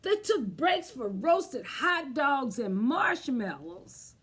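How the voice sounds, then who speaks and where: A woman's voice: high-pitched, sing-song, slow, fairly bright tone, breathy edge. A woman leading a children's storytime, reading aloud and chatting expressively to young listeners.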